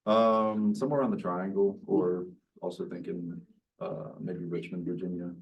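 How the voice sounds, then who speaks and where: A man from North Carolina, a graduate student giving relaxed, brief answers in class. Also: low-pitched, moderate pace, dull audio, slight background noise. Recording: distant classroom audio.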